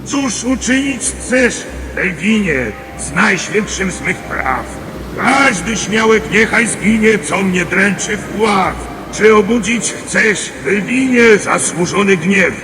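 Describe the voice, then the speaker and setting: A man plays a mighty, menacing ocean spirit in a radio play. He declaims verse slowly and solemnly in a high male register, washed in long reverb with loud music behind. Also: expressive. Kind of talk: audio drama acting.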